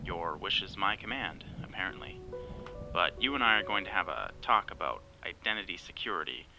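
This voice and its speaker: An actor playing a sardonic, bossy best friend in a naturalistic audio drama, wry and exasperated. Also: male voice, sits low, animated, fairly quick, creaky, music in the background. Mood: sardonic, teasing. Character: sardonic, bossy male best friend named Larry, cellphone company worker.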